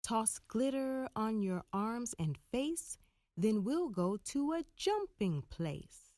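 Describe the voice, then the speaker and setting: A woman narrating a rhyming picture book for children in a deliberate, expressive storytelling manner. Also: mid-range voice, no echo, studio quality. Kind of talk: rhyming children's read-aloud.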